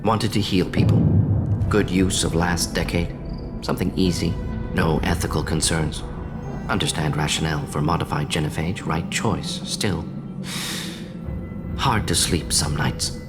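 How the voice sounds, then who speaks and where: A man's voice: low-pitched, unhurried, pauses often. A man voicing a brilliant, conflicted alien salamander scientist in a video game, speaking in clipped, telegraphic sentences. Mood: reserved, regretful. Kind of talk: video game character dialogue. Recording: game audio with background music.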